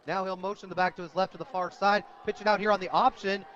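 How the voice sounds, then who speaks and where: An American man calling high school football play-by-play on the radio, excitable when a big play comes. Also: quick pace, a little hiss or hum. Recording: dull, lo-fi outdoor radio broadcast.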